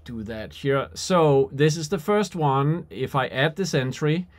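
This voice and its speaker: A man in his forties giving an unscripted software tutorial, thinking aloud and halting as he demonstrates. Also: mid-range voice, lively intonation. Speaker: product demonstrator.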